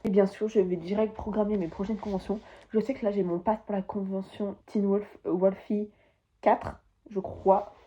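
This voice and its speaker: A woman's voice: mid-range voice, little pitch movement, moderate pace, warm tone, lo-fi sound. A teenage girl vlogging from her bedroom, chatting casually and enthusiastically about her souvenirs, rambling as she goes. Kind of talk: casual chat to camera.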